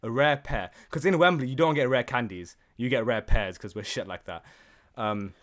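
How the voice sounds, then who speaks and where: A man's voice: medium pitch, moderate pace, a bit bright. A man co-hosting a gaming podcast, casual, jokey and sweary, improvising and bantering.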